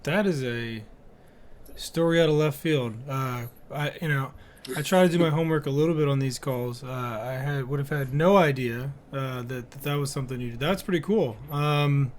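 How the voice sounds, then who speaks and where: A man hosting a podcast, asking questions in a relaxed, friendly way with fillers. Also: medium pitch, normal speed. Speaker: podcast host. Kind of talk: podcast interview.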